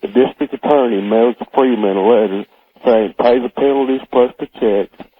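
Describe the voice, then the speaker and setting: A man's voice: mid-range voice, moderate pace, breathy edge. An American man calling a radio show with a casual comment, his voice muffled and hard to make out. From Oklahoma, United States. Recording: muffled, narrow phone line.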